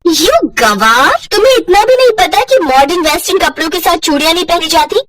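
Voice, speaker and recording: A woman's voice: very high voice, animated, a little fast, voice pushed up. A woman voicing a spoiled, spiteful young sister-in-law who scolds and gives orders with contempt. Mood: scornful.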